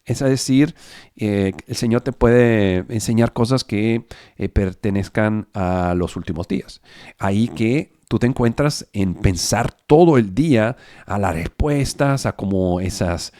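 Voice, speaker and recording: A man preaching in Spanish, which is not his first language, speaking haltingly and often correcting himself. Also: fairly low pitch, warm tone, no room sound, clean studio sound. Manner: halting, with fillers and self-corrections. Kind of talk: online sermon.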